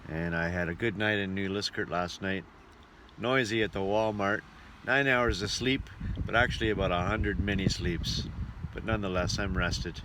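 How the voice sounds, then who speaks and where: A man's voice: deep voice, steady tone, moderate pace. A man who works as a travelling entertainer, chatting casually in a travel vlog. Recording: outdoor ambience and vehicle noise.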